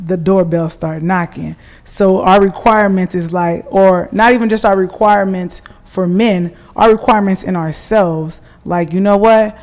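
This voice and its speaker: A woman co-hosting a podcast, talking frankly and relaxed in casual chat, thinking aloud with a few fillers. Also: mid-range voice. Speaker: podcast co-host.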